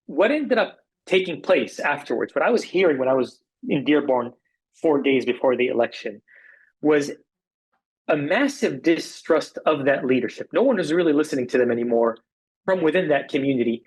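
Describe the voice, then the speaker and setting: A man from Arizona with an American accent, speaking as an interview guest, making political points in a measured, emphatic way with repetition. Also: a little high, animated, slightly rough, rough recording. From Arizona, United States.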